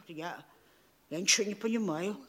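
An actress playing a vain, fussy middle-aged mayor's wife in a theatrical radio play, flustered, exclamatory and bossy. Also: female voice, mid-range voice, moderate pace, close to an everyday voice. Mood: flustered, puzzled.

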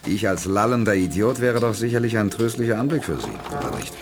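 Low-voiced, confident space commander. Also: male voice, lively intonation, brisk, music in the background. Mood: dry, mocking. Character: confident, determined male space commander with dry mocking humour. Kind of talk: 1980s German radio play acting. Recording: noisy 1980s audio drama recording.

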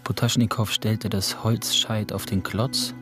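A man's voice: lowish voice, fairly even intonation, measured pace, lightly acted. A man narrating a literary radio play, reading scripted prose. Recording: studio radio play, loud music underneath.

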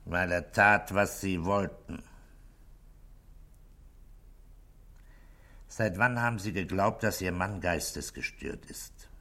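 A police chief inspector in a 1960s German radio drama. His voice is deep yet bright and forceful. He is patient and authoritative, putting short, probing questions and giving commands. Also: unhurried, full character voice. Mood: pressing, skeptical.

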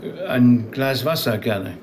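An actor playing a polite, hesitant rabbi in a naturalistic radio drama, halting and deferential. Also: male voice, medium pitch, background noise, natural voice. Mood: flustered, courteous. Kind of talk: naturalistic German-language radio drama acting.

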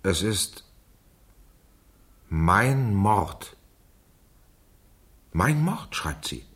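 An actor playing a man who reads a diary aloud in a radio crime drama, deliberate and precise, with a husky voice. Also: fairly low pitch, big pitch swings, a bit bright, full character voice. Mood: halting, suspenseful. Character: measured man reading a girl's diary aloud.

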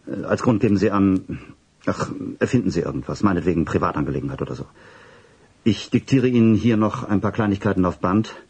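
A flustered male boss in a radio play, with a low and very rough, breathy voice. He issues secretive orders in an officious, clipped way, stumbling and repeating himself as he dictates. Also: expressive, brisk, a little mellow, exaggerated voice. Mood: brisk, secretive. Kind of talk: radio drama acting, phone calls and dictation.

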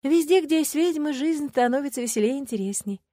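A woman's voice: sits high, expressive, quick pace, dark tone, dry recording, pitched above the performer's own voice. A woman voicing a sly, teasing female ghost of a witch.

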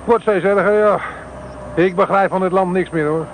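Man as grumpy officer hunting pirate stations, high-pitched, hiss on the tape. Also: steady tone, normal speed, poor audio. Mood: grumbling. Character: radio monitoring officer in a car, weary, grumbling. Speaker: radio detection officer. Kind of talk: radio play acting.

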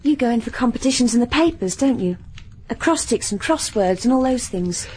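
An actress plays a sheltered young woman from South Africa in a vintage radio play, her voice high and girlish with lively swoops. Every line brims with breathless enthusiasm, and faint hiss sits under the old recording. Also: a little fast, natural voice, rough recording. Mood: eager. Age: young adult. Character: eager sheltered young daughter.

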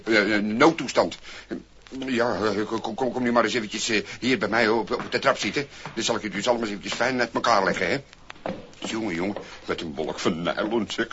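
An actor playing a roguish, chatty Dutch tramp with a folksy, rambling manner in a 1960s radio play. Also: male voice, on the low side, fairly bright tone, lightly acted. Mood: pleading, wheedling, then cheeky, flattering. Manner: hesitant, rambling, folksy muttering. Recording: noisy, dull 1960s vinyl record.

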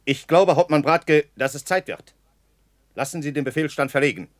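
An actor playing a brisk, stubborn army major in his thirties, clipped and authoritative, in a German radio play. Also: a little high, lively intonation, no room sound, studio quality, natural voice. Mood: grave, decisive. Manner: clipped, authoritative military address. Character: male army major, 32, brisk, commanding, stubborn wartime officer.

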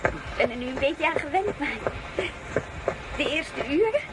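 A shy, smitten young village girl in a radio drama. Her voice is high and she speaks hesitantly, bashful yet eager, over clear scene noise. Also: expressive, normal speed. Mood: curious. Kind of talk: Dutch radio drama acting.

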